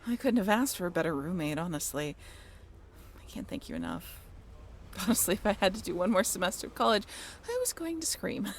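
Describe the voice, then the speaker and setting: A woman's voice: fairly low pitch, big pitch swings, brisk, a little hiss or hum. A young woman playing a friendly, teasing sailing-ship crew member in an amateur audio roleplay, chatty and informal with a joking streak. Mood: fond, casual. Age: young adult.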